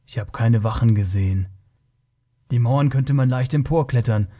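A man's voice: mid-range voice, moderate pace, mellow, lightly acted. This is a man playing a young northern barbarian in a fantasy audiobook. He is blunt, proud and a little naive. His speech is curt and earnest. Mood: curious.

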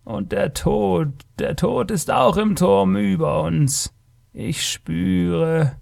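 Ancient sorrowful alien creature, high and slow. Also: male voice, creaky, darkish tone, exaggerated voice. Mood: hesitant, sighing. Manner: slow, halting, lamenting delivery.

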